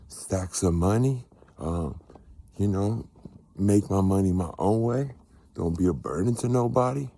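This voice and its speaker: An American man giving a casual motivational testimony, husky and emphatic, repeating phrases in a preachy way for weight. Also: on the low side, dark tone, slight background noise. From United States. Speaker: spiritual vlogger.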